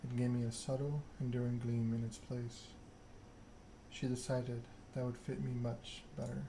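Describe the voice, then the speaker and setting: A man plays a proud, scheming game vampire, delivering a self-important monologue in ASMR style. The pitch is middling and very level, and clear noise sits behind the voice. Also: flat delivery, moderate pace, natural voice. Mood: bitter, resolute. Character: proud, scheming vampire aristocrat telling their story. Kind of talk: ASMR read-aloud of game dialogue.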